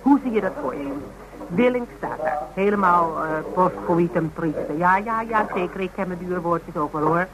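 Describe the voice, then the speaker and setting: An actress with a Netherlands Dutch accent playing a sharp-witted, bantering woman in a naturalistic radio drama. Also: on the low side, normal speed, noisy background, close to an everyday voice. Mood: thoughtful, sceptical. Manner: bantering, blunt, mocking. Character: sharp-witted, teasing woman, confidante of a police detective.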